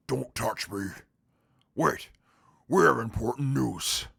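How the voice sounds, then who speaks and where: A man's voice: medium pitch, slow pace, vocal fry, a bit bright, slightly rough, no room sound, studio quality, exaggerated voice. A man playing a haughty, humorless warrior in a post-apocalyptic audio drama, speaking with stiff, formal diction. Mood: disdainful.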